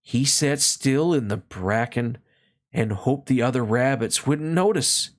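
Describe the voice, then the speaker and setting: A storybook reader narrating a children's tale aloud in an expressive read-along style. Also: medium pitch, a little slow.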